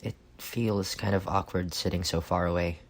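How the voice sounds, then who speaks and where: A man acting a reserved, awkward and earnest best friend in an intimate roleplay, speaking softly and haltingly. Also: deep voice, steady tone. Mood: calm, hesitant. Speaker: voice actor.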